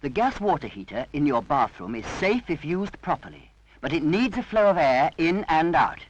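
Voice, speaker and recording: A man reads an old safety announcement. His voice is fairly high and lively, with a muffled sound and faint noise. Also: moderate pace. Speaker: narrator. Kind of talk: 1970s public safety announcement.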